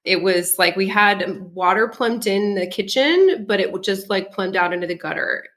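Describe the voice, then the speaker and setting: A woman's voice: medium pitch, moderate pace, fairly bright tone. A woman with an American accent and French as her first language, chatting casually as an interview guest. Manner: chatty, laughs often while talking. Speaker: podcast guest, psychic.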